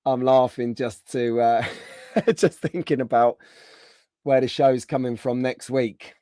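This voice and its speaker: A man hosting a casual live stream, greeting viewers by name, his voice slightly hoarse from being under the weather. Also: medium pitch, fairly even intonation, a little slow, mellow, no room sound, studio quality.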